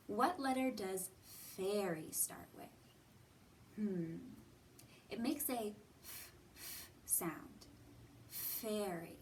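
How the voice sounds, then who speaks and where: A woman hosting a library storytime, teaching and reading to young children in a deliberate, encouraging way with questions and pauses. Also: medium pitch. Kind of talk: children's lesson and read-aloud. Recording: distant mic with clear background noise.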